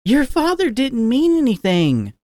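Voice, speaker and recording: A man voicing a worried, gentle wolf mother in a raised, soothing voice. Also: very high-pitched, wildly swooping pitch, measured pace, dry recording, pitched above the performer's own voice, heavily acted. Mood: placating.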